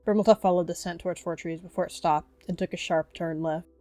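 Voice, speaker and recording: A woman's voice: on the low side, fairly even intonation, fast, dark tone, faint music behind. A woman reading scripted story narration for an animal roleplay.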